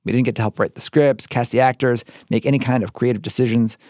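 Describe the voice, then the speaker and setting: A man's voice: mid-range voice, fairly even intonation, fast talker, darkish tone, dry recording, studio quality. An American man hosting and narrating a podcast in a scripted but conversational storytelling style.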